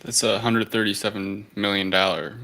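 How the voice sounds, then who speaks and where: Male co-host, deep pitch with a strikingly bright edge, casual, tentative, understated delivery. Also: flat delivery, normal speed. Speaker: podcast co-host. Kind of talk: casual film review chat.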